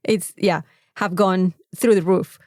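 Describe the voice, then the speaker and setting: A woman's voice: lowish voice, fairly even intonation, a little slow, darkish tone, no room sound, clean studio sound. A woman with a Mexican accent, co-hosting a casual podcast chat in an informal, rambling, sweary way. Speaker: podcast co-host.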